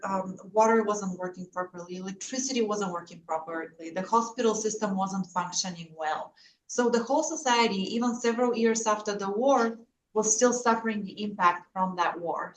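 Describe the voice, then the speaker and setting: A woman from Bulgaria speaks as an expert guest, earnestly explaining her background. She sounds a little away from the mic in an echoey room, with faint noise behind her mid-pitched voice. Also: far from the mic. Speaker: interview guest, security expert and author. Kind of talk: earnest account of own background.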